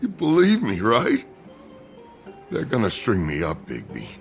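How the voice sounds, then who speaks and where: A man playing a gruff, down-and-out woodsman, a bitter and self-loathing brute with a gravelly voice, in a dramatic video game. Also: mid-range voice, huge pitch swings, a little slow, soft background music, exaggerated voice. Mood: panicked, pleading. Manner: coarse, swearing, rambling.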